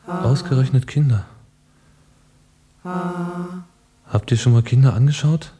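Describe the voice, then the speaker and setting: An actor in a radio play as a sensitive young revolutionary poet, earnest and pained, with a husky voice. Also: male voice, mid-range voice, slow, mellow. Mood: anguished.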